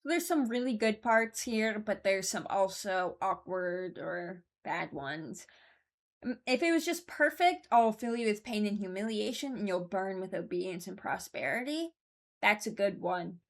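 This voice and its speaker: Woman host, casual commentary, mid-pitched voice, rambling, thinking out loud. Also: expressive, unhurried. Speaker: host ranking game voice lines.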